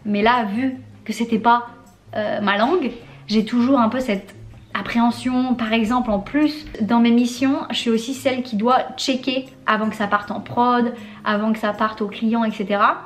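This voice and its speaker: Young woman from France, casual slangy vlogger with a highish voice. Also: steady tone, normal speed. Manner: candid and chatty, with slang and frequent laughs. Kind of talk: casual confessional vlog.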